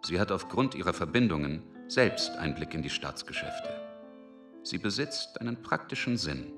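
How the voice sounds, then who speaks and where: A male narrator tells a literary story in a radio drama. His voice is deep and moves through a wide, lively range, with clear music underneath. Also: normal speed.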